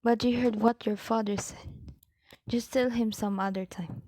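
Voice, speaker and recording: A woman's voice: a little high, fairly even intonation, moderate pace, dark tone, dry recording, natural voice, low-quality recording. A woman acting a strict mother of teenagers in an amateur radio play, firm and scolding.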